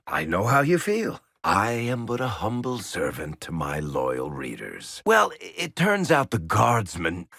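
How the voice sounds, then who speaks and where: A man's voice: sits low, creaky, a bit bright, slightly rough, no echo, full character voice. A man voicing a wry dwarf storyteller and rogue in a video game, full of dry, sardonic quips. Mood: amused teasing, then wry, conversational. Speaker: video game companion character.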